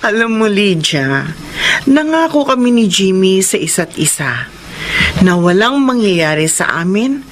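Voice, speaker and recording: Expressive young woman, acted, unhurried, in love drama. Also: medium pitch, a little hiss or hum. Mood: amused, teasing. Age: young adult. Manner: expressive, with teasing and hesitant stammers. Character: devoted, playful young woman, easily flustered in love. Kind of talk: dramatized Tagalog love story acting.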